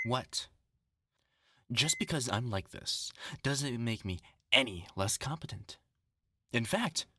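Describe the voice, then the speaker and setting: A man's voice: mid-range voice, sharp tone, dry recording, clean studio sound, heavily acted. A man playing a vengeful test subject turned mock doctor in a horror audio roleplay, commanding, sardonic and mock-clinical. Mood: cold, commanding.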